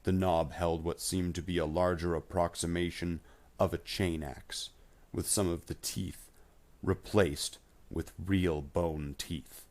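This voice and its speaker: A male storyteller reads dramatic fiction in a very deep voice. His delivery is slow and measured, with deliberate phrasing throughout. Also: fairly even intonation, a little mellow. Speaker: narrator. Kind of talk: scripted dramatic story narration.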